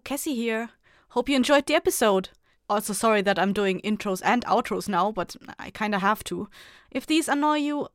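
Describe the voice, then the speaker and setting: A woman's voice: fairly high pitch, no room sound. A woman hosting her own podcast, giving a casual, rambling outro on a close mic. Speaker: podcast creator and host.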